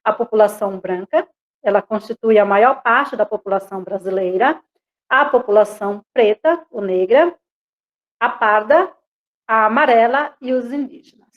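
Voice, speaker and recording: A woman's voice: on the high side, moderate pace, phone-quality sound. Talkative Bahian woman teacher. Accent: Bahian Portuguese. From Bahia, Brazil. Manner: conversational, frequent tag questions. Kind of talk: online class lecture. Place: home, online class. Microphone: video call.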